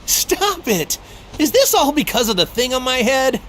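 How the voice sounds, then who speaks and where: High, cheerful young male hero. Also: very animated, moderate pace, a bit bright, a little hiss or hum. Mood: earnest, cheerful. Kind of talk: English anime game voice acting.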